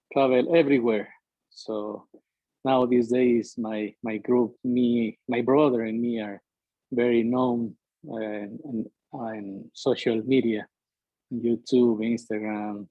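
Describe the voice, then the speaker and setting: A middle-aged man from Ecuador with an Ecuadorian Spanish accent, a native Spanish speaker talking as a guest in halting English, searching for words with many fillers. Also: medium pitch, no echo, narrow, tinny audio. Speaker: interview guest, musician. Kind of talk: conversational interview, halting English.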